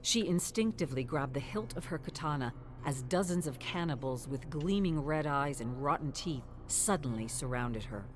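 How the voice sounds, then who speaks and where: Very low-voiced woman narrating dark fantasy. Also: lively intonation, moderate pace, few pauses.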